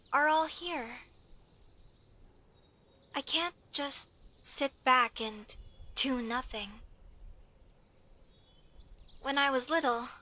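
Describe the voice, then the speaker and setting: A woman's voice: sits high, heavily acted. A woman playing an earnest, proud young female elf mage who speaks expressively and intimately to the listener in an audio roleplay. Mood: soft, sincere. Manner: expressive, chatty, mock-indignant at times, softening to sincere.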